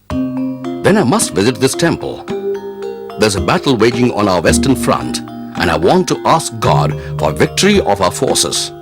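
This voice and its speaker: A proud Mughal emperor from a cartoon moral tale, imperious and quick to anger. His speech swings hugely from low to high, over prominent background music. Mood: curious, interested. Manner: imperious, declamatory. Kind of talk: animated moral story dialogue.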